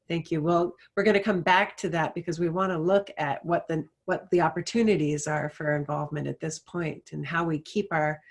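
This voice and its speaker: A woman's voice: deep voice, vocal fry. A woman moderating a panel discussion, speaking politely and encouragingly. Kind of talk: panel discussion on a video call.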